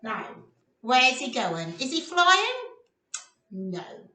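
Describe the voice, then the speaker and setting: Very animated woman reading a children's story. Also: high voice, extremely slow. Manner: animated, questioning, asks and answers for little listeners. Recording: roomy echo, distant microphone.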